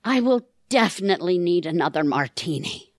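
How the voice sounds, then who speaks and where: An actress playing a harried, sardonic older marketing executive with a dry, exasperated manner in an audio theatre comedy. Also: female voice, fairly low pitch, expressive, normal speed, dry recording.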